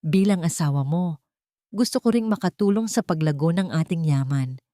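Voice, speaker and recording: A woman's voice: low voice, animated, moderate pace, dark tone, no room sound, studio quality. A woman voicing a manipulative, ambitious bride-to-be who talks sweetly and persuasively in a narrated story. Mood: coaxing.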